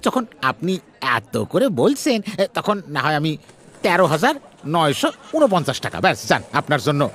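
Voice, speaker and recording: A man voicing a flattering, haggling sari shopkeeper in a comic cartoon. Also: a little high, normal speed. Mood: sly haggling. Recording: faint music behind the voice.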